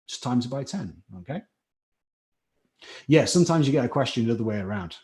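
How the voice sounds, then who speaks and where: Chatty Scouse chemistry tutor. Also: male voice, medium pitch, lively intonation, brisk, darkish tone. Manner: chatty, encouraging, reads out chat names. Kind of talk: live online class teaching.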